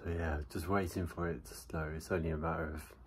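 A man's voice: a bass voice, fairly even intonation, fairly quick, a little hiss or hum. A man giving cheerful daily weather updates outdoors in a city for his travel vlog. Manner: upbeat, chatty weather updates. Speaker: travel vlogger. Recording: background music over outdoor ambience.

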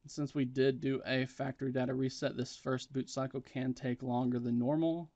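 A man's voice: mid-range voice, little pitch movement, moderate pace, mellow, no echo. Man giving a dry, muffled tech tutorial. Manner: plain, instructional, matter-of-fact. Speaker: tutorial presenter. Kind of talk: step-by-step spoken tech tutorial.

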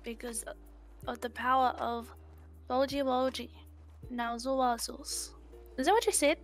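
A woman's voice: high voice, steady tone, measured pace, soft background music. A woman in casual improvised game roleplay, talking in a cutesy, stammering way with repeated words. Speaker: online roleplay player.

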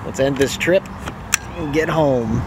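A man's voice: mid-range voice, unhurried, heavy background noise. A man casually narrating a road trip from his car, chatty and upbeat, thinking aloud with fillers. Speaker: vlogger. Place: in a car on the highway.

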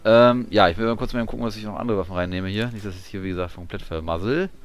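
A man with a very deep voice chats offhandedly through a game, half muttering to himself. Faint music sits behind his casual commentary. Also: fast talker. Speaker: gamer, let's player.

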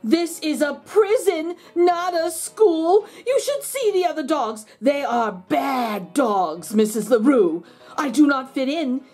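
A woman's voice: high-pitched, expressive, slow, a little mellow, faint music behind. A woman voicing an indignant, self-pitying pet dog in a children's storybook, pleading with dramatic emphasis. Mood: aggrieved pleading. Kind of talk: children's storybook read-aloud.

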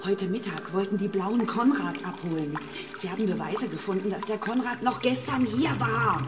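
A woman's voice: mid-range voice, moderate pace, roomy sound, over music, close to an everyday voice. A woman playing an eccentric, cheeky and defiant lady in a children's radio play. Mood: conspiratorial.

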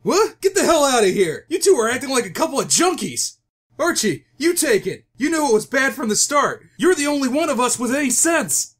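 A man playing an exasperated, jittery, wordy young man in a comic book audio drama, agitated and high-strung. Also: big pitch swings, fairly quick, long pauses, fairly bright tone, husky, full character voice. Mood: panicked.

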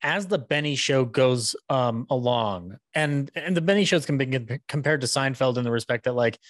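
A man in his thirties chatting casually as a podcaster, rambling and halting as he finds his words. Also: medium pitch, dry recording, clean studio sound. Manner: rambling, halting, says "like" often. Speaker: podcaster interviewer. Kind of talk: casual fan discussion. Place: small indoor room, video call. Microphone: boom-arm microphone with headset.

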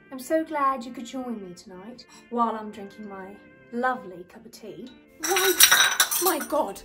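A woman playing a rambling, easily distracted older lady who is baffled by technology, talking to a phone camera. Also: high-pitched. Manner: rambling, easily distracted, prim yet crude. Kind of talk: comedic character monologue to camera.

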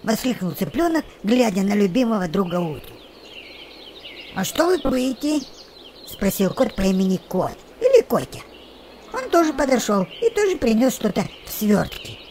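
A woman narrating a scripted children's story in an expressive, animated way. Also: mid-range voice, moderate pace. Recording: noticeable background noise with birdsong. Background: birdsong and nature ambience.

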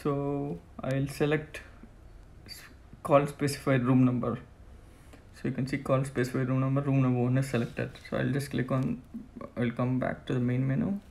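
A man's voice: mid-range voice, level tone, normal speed, vocal fry, a little mellow. A man presenting a hands-on product setup tutorial in a plain, step-by-step instructional way.

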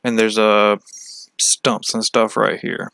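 Man, lower-pitched voice, unhurried, casual walkthrough, halting matter-of-fact delivery, frequent pauses. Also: flat delivery, gravelly, pushed and bright, clear, resonant tone, no room sound. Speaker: fishing channel host. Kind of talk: casual screen-share map walkthrough.

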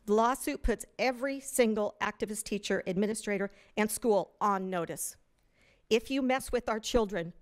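A woman giving public comment in a large hotel meeting room, indignantly reading news stories aloud from a prepared text at a podium microphone. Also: mid-range voice.

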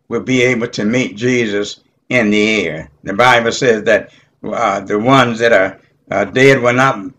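An elderly man teaching Bible study in a halting, deliberate way, punctuated with amens. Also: medium pitch, fairly bright tone. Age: 70s or older. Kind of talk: informal Bible teaching with scripture reading.

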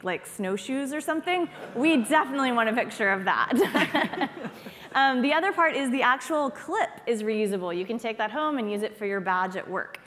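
A woman's voice: fairly high pitch, fairly bright tone. A woman giving an informal, upbeat talk from a conference stage. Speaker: conference presenter. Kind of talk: keynote speech. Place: large conference hall stage. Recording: long hall reverb.

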